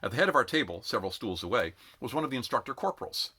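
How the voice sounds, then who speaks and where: Male narrator for a read-aloud: forceful, sharp tone, lively intonation, dramatic phrasing, expressive storytelling. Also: medium pitch, racing, breathy edge. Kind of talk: expressive audiobook-style narration.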